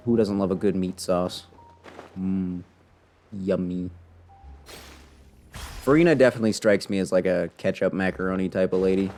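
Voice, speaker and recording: A man's voice: deep voice, a little mellow. A man giving casual live gameplay commentary as a streamer, in a wry, rambling way. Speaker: game streamer.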